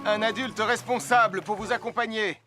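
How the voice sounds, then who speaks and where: An actor voicing a friendly adult firefighter who calmly gives safety advice in a cartoon. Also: male voice, sits high, moderate pace, lightly acted. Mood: steady advice. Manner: calm, reassuring instruction. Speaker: safety narrator. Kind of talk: French cartoon dub. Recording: studio voice with loud cartoon music.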